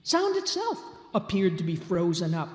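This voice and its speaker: A woman giving a slow, expressive dramatic reading of a classic story at a live show. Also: lowish voice. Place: large town hall stage, live show. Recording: roomy hall reverb.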